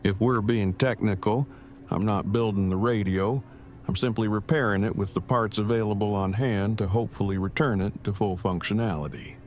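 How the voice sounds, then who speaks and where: An actor playing an earnest, verbose, nerdy husband in an audio drama, speaking in formal technical phrases. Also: male voice, lowish voice, steady tone, moderate pace, lightly acted. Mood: matter-of-fact, precise. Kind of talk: fan audio drama acting.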